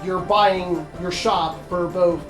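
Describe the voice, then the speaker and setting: A man's voice: high voice, steady tone, slow pace, roomy sound. A man giving casual, rambling game commentary among friends, explaining things as he goes and swearing now and then. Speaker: host of a video game let's play. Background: video game music.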